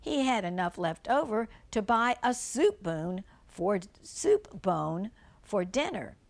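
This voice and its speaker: Older woman reading a storybook, slow and expressive. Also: mid-range voice. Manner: simple explanations, gentle questions to a young listener. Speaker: grandmother reading a storybook to children. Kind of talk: read-aloud children's storybook with chatty asides.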